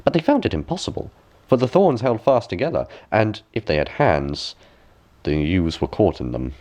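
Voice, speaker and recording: Low male storyteller, bedtime story narration, super animated pitch, deliberate phrasing. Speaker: storyteller, audiobook reader. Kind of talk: scripted bedtime fairy tale narration.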